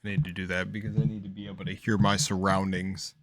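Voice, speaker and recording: Young man, slight lisp, low voice, rambling reaction chatter, fillers, loud then mumbling. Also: flat delivery, quick pace. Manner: rambling, filler-heavy, drifting between loud bursts and mumbles. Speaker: reaction video host. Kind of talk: casual rambling reaction commentary.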